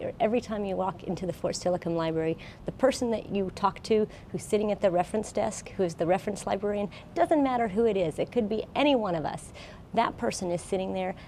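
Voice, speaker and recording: A woman with an American accent speaks in a dark, mellow voice, a little on the low side. She explains things informally and plainly, like a librarian presenting to students. A faint rumble sits behind her. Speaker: college librarian, guest speaker.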